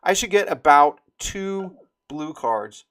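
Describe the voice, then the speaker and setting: A man's voice: fairly high pitch, animated, slow, a bit bright, clean tone. A man giving an informal, rambling walkthrough of a game, explaining details as he goes. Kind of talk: informal screen-share game tutorial.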